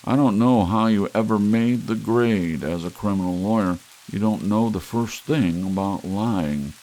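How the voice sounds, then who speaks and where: A man's voice: lowish voice, unhurried, dark tone, natural voice, poor audio. A man voicing an earnest man pressing a friend about dreams and pain, urgent and probing.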